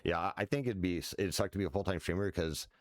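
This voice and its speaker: Very deep-voiced man rambling casually. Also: fast talker, dry recording, studio quality. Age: 40s. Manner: loose, rambling, many fillers and restarts, swears casually. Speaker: streamer. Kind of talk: casual rambling chat while sim racing. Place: his house.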